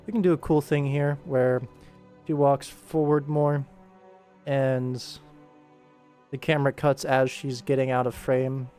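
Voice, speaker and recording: A man's voice: mid-range voice, level tone, a little slow, long pauses, mellow. A man talks casually through his work on a livestream, rambling and muttering to himself as he thinks aloud. Game soundtrack music plays behind his voice.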